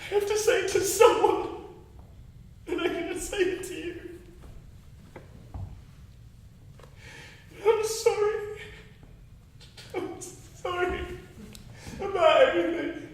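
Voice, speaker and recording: A very echoey, distant recording of an anxious young man in a drama. His voice sits extremely high for a man, and he talks haltingly and defensively, repeating himself in agitation. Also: animated, bright, forceful tone. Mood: grieving, breaking down. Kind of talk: dramatic acting. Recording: very echoey, distant, faint noise.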